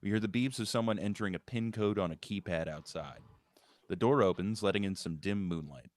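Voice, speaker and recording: A man's voice: fairly low pitch, fairly quick. A man reading out screenplay stage directions as a scripted narration at a table read.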